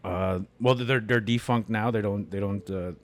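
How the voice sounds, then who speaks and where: A middle-aged man from Canada talks as a podcast guest in a cafe, his voice sitting low. He rambles, restarting sentences and padding them with fillers. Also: fairly even intonation, normal speed. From Canada, born in Winnipeg. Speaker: guest, comedian and beatmaker. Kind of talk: casual interview conversation.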